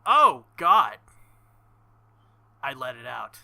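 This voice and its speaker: A man reading a visual novel aloud with casual commentary, halting and embarrassed, often breaking into nervous laughter. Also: medium pitch, huge pitch swings, creaky, sharp tone. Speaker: let's play host.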